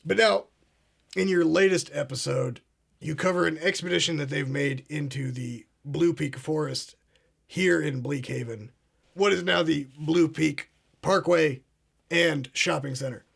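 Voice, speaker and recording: A man playing a gravelly paranoid talk show host. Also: on the high side, unhurried, heavily acted. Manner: grandiose, ominous, addresses "ladies and gentlemen". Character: pompous paranoid male conspiracy show host. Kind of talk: comedic mock talk show.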